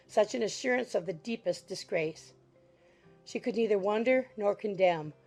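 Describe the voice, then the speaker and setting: A woman's voice: mid-range voice, darkish tone. Woman narrating a classic novel over music. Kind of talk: scripted literary audiobook narration.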